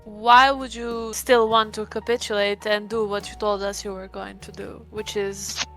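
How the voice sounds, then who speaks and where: A woman playing a sceptical vampire in tabletop roleplay, questioning in a deliberate, conversational way. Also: medium pitch, flat delivery, pushed and bright, soft background music. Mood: sceptical, probing.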